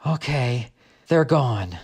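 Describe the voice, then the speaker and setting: A man voicing a protective female guide in a storybook reading, urgent and commanding in a hushed tone. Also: mid-range voice, lively intonation, slow pace, husky, heavily acted. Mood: watchful. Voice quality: hushed, whispery.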